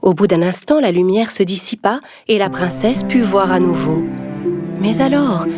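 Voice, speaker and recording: A woman's voice: medium pitch, expressive, moderate pace. A woman narrating a scripted fairy tale for children. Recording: music under the voice.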